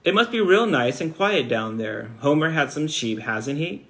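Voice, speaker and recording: A man reading aloud as a calm, thoughtful old country doctor in a storybook, musing and unhurried in manner. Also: a little high.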